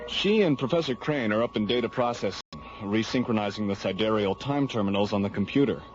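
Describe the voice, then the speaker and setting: An actor playing an affable, chummy space station controller in a science fiction radio drama. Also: male voice, lowish voice, brisk, soft background music, natural voice. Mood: chatty, relaxed. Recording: dull old radio recording.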